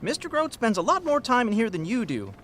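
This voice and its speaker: An actor playing a stubborn, money-minded 1930s tavern keeper in an audio drama, wry and businesslike. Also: male voice, very high-pitched, very animated, fairly quick, a bit bright, slight background noise, heavily acted. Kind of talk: period audio drama acting.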